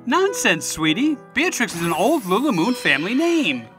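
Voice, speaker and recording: A jovial middle-aged pony father, acted by a woman in a cartoon dub. The delivery is pompous and swoops up and down, with music clearly underneath. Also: on the high side, big pitch swings, measured pace. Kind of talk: cartoon comic dub acting.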